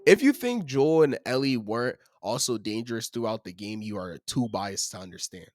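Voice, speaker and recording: A young man with a voice gone a bit raspy from being sick, reading out his game review in a steady, earnest and opinionated tone. His pitch sits in the middle of the range for a man. The recording is close and dry, with no room sound. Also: normal speed, no echo. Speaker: video game review host. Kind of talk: scripted game review read aloud.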